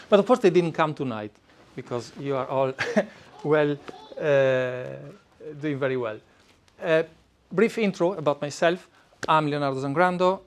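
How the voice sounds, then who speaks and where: A middle-aged man with an Italian accent gives a live talk to an audience as a speaking coach, talking conversationally with frequent fillers and restarts. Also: a little high. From Italy. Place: event room before a live audience.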